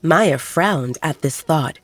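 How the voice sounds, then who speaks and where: A woman's voice: sits low, lively intonation, slow pace, no room sound. A woman reading scripted fiction as an audiobook narrator.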